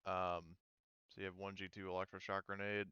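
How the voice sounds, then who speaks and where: A man with a smooth, lowish voice works as a game master, explaining rules casually. He pauses to think aloud, and the sound is dry and slightly dull. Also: level tone, bright, forceful tone, dry recording. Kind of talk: casual tabletop game refereeing.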